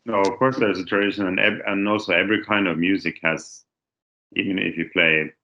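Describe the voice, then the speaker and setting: A man with a very deep voice speaks casually and a little haltingly, restarting his phrases. The recording sounds dull and lo-fi, missing its brightness. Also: fairly even intonation. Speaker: guest musician. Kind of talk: interview conversation.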